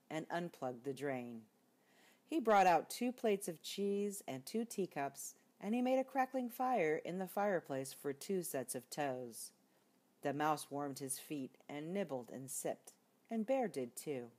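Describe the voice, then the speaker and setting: An older woman reading a children's storybook aloud expressively, as a grandmother would, with deliberate emphasis. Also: low-pitched, a little mellow.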